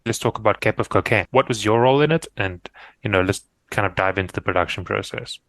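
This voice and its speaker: A man's voice: on the low side, fast, a bit bright. A man hosting a podcast and discussing things analytically. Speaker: podcast host.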